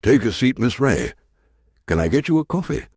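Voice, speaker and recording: An actor playing a hard-boiled, sardonic London detective inspector in a crime radio drama, curt and dry. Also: male voice, mid-range voice, sing-song, normal speed, darkish tone, slight background noise. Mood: coolly probing.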